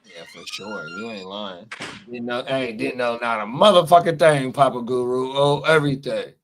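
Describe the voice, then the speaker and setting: A man's voice: mid-range voice, normal speed. A man in his forties co-hosting a casual live-stream chat, emphatic and swearing freely, repeating stock phrases. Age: 40s. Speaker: podcast co-host.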